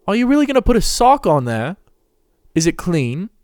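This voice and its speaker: Guarded, sullen small schoolboy played by a man, raised pitch, timid but bitter, for a story reading. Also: very animated, normal speed, a little mellow, no echo. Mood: alarmed, wary. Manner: wary, suspicious. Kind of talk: dramatic reading of fan fiction.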